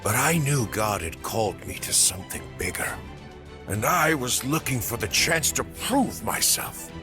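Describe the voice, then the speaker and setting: A man's voice: medium pitch, lively intonation, a little slow, close to an everyday voice. Earnest heroic actor as young man over music. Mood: earnest, resolute. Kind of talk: dramatic trailer voice acting.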